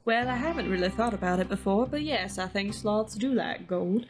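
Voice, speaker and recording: A woman's voice: medium pitch, lively intonation, normal speed, voice pushed up, close to an everyday voice. A woman voicing a suspicious, gold-loving sloth in a video game, speaking in a matter-of-fact way. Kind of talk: video game character read-aloud.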